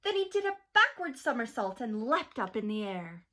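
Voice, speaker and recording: A woman teacher reading a rhyming picture book aloud to children, slow and expressive. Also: on the high side.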